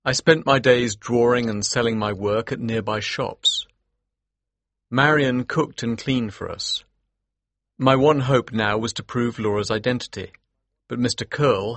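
An actor playing an earnest, determined gentleman who tells a Victorian mystery in the first person, with a husky voice. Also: medium pitch, no echo. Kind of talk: scripted first-person audiobook narration.

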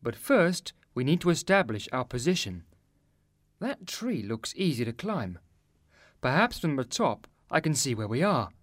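A man acting an excitable boy adventurer. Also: mid-range voice, unhurried, dry recording, clean studio sound. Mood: earnest, determined. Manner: excitable, expressive. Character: eager, resourceful boy stranded in the jungle. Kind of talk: dramatised audiobook dialogue.

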